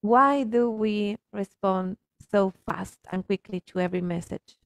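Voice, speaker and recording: Casting: Argentine-accented woman, native Spanish speaker, earnest coaching talk, mid pitch, gravelly fry, dry close sound. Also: unhurried, dry recording. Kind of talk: reflective coaching conversation.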